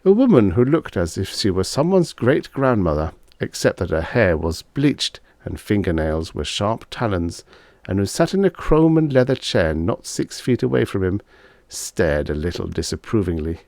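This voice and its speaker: A man reading a short story aloud as an audiobook narrator, with expressive, scripted delivery. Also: on the low side, normal speed, no room sound.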